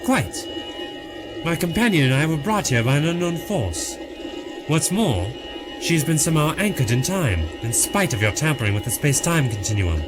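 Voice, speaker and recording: An actor playing an earnest, commanding time-traveler hero in an audio drama, authoritative and excitable. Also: male voice, a little high, normal speed, music in the background. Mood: questioning.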